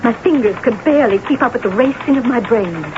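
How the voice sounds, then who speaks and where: An actress playing a self-absorbed, temperamental, envious novelist in a theatrical, gushing old-time radio drama style. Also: female voice, a little high, fast talker, close to an everyday voice. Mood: excited, dramatic. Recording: dull, noisy old radio broadcast.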